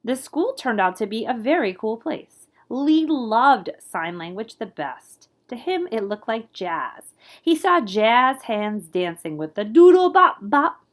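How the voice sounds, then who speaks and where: Very animated woman reading a storybook aloud. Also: fairly high pitch, slow. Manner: animated, playful with scat-like sound words. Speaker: librarian reading a picture book aloud. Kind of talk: expressive children's read-aloud with asides to listeners.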